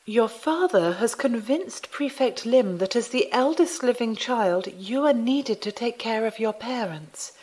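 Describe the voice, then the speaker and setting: A woman playing a polite, solemn religious sister in an audio drama, formal and gentle. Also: on the high side, expressive, unhurried, few pauses. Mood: solemn, courteous.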